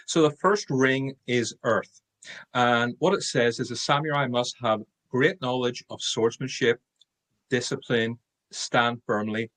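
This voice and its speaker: Man, Irish accent, middle-aged, husky, podcast guest, emphatic, repeats points. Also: mid-range voice, a bit bright. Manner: emphatic, repeats key points, laughs at his own remarks. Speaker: guest sales expert. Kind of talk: podcast interview.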